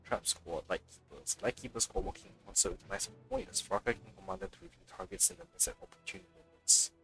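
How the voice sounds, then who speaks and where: Low, gravelly, animated male streamer. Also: fast talker, faint music behind. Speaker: game streamer. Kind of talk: let's play commentary, reading game text aloud.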